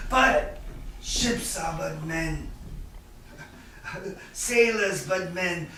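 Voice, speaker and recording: Actor as sardonic stage moneylender, slow, toying, chuckling delivery, gravelly edge, very echoey room. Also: male voice, high-pitched, pushed and bright, full character voice. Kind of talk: staged reading of Shakespearean drama. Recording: distant echoey room with faint noise.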